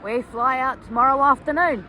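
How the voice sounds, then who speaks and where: A woman's voice: high voice, moderate pace, sharp tone, a faint crowd, a little hiss or hum. A woman vlogging outdoors after a match, chatty and tired, correcting herself as she goes. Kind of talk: casual vlog chat.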